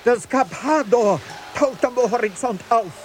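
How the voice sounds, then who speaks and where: Bedächtiger Lotse, langsam, im Lärm. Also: male voice, extremely high pitch, unhurried. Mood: grave, tense. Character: measured, worried ship's pilot in a storm. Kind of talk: radio drama acting. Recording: clearly noisy ship sound effects.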